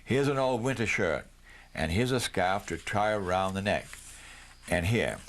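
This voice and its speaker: Male narrator as busy farm mother, caring and fussing, pitch lifted above his low narration, storybook reading. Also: measured pace. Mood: fussing, fond.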